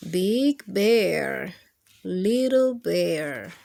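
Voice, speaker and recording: A woman hosting children's storytime, reading picture books aloud in an expressive, sing-song way and putting questions to the children. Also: fairly low pitch, very slow, creaky, a little hiss or hum.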